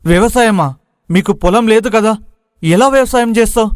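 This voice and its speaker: A man's voice: high voice, moderate pace, dry recording, clean studio sound, close to an everyday voice. A man playing a chatty, blunt young village friend with a familiar manner in a moral story.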